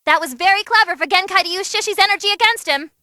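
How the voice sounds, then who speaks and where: Squeaky, excitable young demon girl announcer. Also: fast, no echo, clean studio sound. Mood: gleeful. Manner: bubbly, cheeky, dramatic. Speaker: fight commentator. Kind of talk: animated fight commentary.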